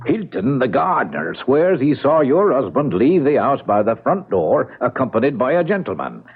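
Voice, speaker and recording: An actor playing a polite, methodical police inspector in a radio mystery, questioning patiently and deferentially. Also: male voice, mid-range voice, very animated, moderate pace, breathy edge. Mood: patient, insistent. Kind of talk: radio mystery drama acting. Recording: muffled phone-like old radio broadcast.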